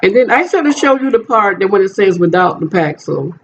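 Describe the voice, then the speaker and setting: A man's voice: high voice, very animated, fairly quick, a bit bright. A man on a phone call as a job applicant, talking in an insistent, repetitive way. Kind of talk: phone conversation. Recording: dull noisy phone call recording.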